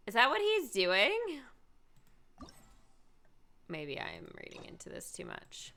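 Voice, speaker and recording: A woman streamer giving casual, reactive gameplay commentary, expressive and thinking aloud. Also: medium pitch, normal speed. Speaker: game streamer.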